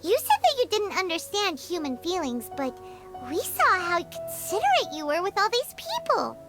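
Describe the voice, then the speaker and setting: Tiny fairy sidekick, very high squeaky voice, bouncy lively pitch, chirpy, game music behind. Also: female voice, moderate pace, heavily acted. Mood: excited. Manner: chirpy, speaks of herself by name. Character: tiny excitable female fairy companion. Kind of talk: video game voice acting.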